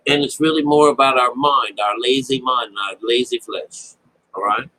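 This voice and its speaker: A man with a husky voice giving informal, unscripted Bible commentary, rambling, repeating phrases and checking in with his listeners. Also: medium pitch, fairly even intonation, normal speed, bright, forceful tone, rough recording. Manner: rambling explanation with frequent check-ins like "all right?" and "okay?". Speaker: Bible teacher.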